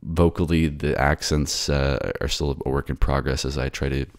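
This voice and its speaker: A man with an extremely deep voice and a lot of fry, narrating and talking as a voice actor. The recording is close and dry. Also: fairly even intonation, moderate pace, no echo. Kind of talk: scripted story narration and casual sign-off.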